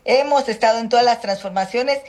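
A middle-aged woman with a Mexican accent, a senator answering interview questions in a measured, hesitant way with many fillers. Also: fairly high pitch, a little mellow. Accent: Mexican Spanish. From Mexico, Guerrero Costa Chica. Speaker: senator and political candidate. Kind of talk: phone or remote interview.

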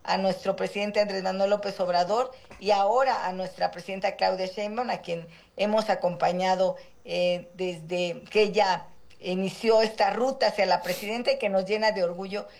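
A middle-aged woman, a senator answering interview questions slowly and with many "eh" and "pues" fillers. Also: mid-range voice, fairly even intonation, creaky, a little mellow. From Guerrero, Mexico. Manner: slow and measured, with frequent "eh" and "pues" fillers. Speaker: senator and political candidate, interviewee.

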